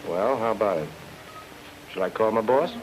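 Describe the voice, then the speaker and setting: A man acting a cool-headed, hard-boiled investigator in an old time radio noir drama, brisk and questioning. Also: fairly low pitch, lively intonation, a little hiss or hum, natural voice, low-quality recording. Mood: urgent, reasoning. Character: cool-headed male investigator, talking his way out of danger. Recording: old radio recording, music underneath.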